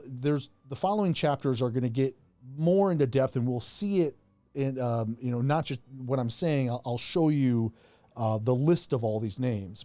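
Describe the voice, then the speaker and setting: A man's voice: medium pitch, dark tone, dry recording. A man giving an unscripted Bible teaching talk, rambling with many fillers and restarts.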